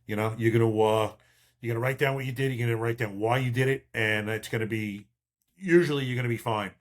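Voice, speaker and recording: An older man with a mid-pitched voice talks like a seasoned cop hosting a podcast. He gives casual advice in a blunt, emphatic, streetwise way. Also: fairly even intonation, quick pace. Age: 50s or older. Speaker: podcast host, veteran police officer.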